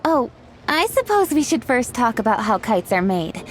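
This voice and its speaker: An actress playing a poised, earnest young woman official in a video game, speaking in an articulate, measured, explanatory way. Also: a little high, sing-song, a little hiss or hum. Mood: thoughtful, earnest. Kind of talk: scripted video game dialogue acting. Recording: music behind the voice.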